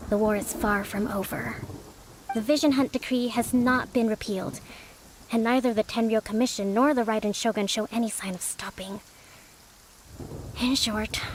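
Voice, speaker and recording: A woman playing a young priestess who leads a resistance army, speaking in a polite, formal, measured way in a video game. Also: a little high, a little hiss or hum, close to an everyday voice, poor audio. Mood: earnest, composed.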